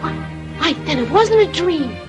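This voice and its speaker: Tiny puppet boy in old cartoon, super high voice, slow n earnest, tender. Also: wildly swooping pitch, muffled recording, over music, heavily acted. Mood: amazed, joyful. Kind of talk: classic cartoon dub acting.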